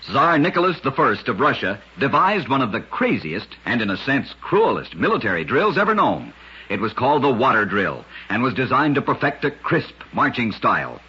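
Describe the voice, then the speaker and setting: A man narrating short scripted stories in an old-time radio style, with an emphatic showman delivery and a husky voice. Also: mid-range voice, sing-song, normal speed, sharp tone. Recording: dull, lo-fi old radio recording.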